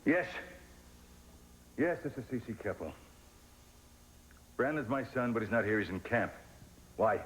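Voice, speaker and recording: A man's voice: medium pitch, lively intonation, unhurried, long pauses, fairly bright tone. An actor playing a proud, domineering father in a television soap opera, with a formal, clipped, commanding manner. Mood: alarmed.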